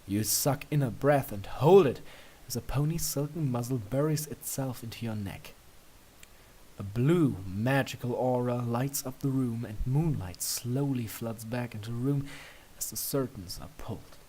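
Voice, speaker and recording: A man with a medium-pitched voice reads fiction aloud. His delivery is scripted storytelling, addressed to the listener in the second person. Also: moderate pace, warm tone, slight background noise, rough recording. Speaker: fan fiction reader.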